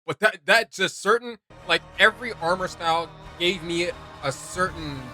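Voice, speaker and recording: A man's voice: high voice, moderate pace, sharp tone, faint music behind, low-quality recording. A man chatting casually as co-host of a reaction video, rambling and often searching for words.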